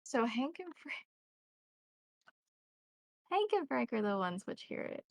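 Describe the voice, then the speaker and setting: A woman's voice: fairly high pitch, sing-song, slow, creaky, no room sound, studio quality. A woman acting as game master, narrating a tabletop roleplaying game and chatting casually with the players.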